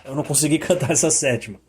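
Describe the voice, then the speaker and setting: A hoarse man teaching musical intervals, singing note names to demonstrate them and checking in often with short questions. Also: mid-range voice, animated, brisk, slight background noise. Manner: sings interval notes to show them. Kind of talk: sung and spoken music lesson.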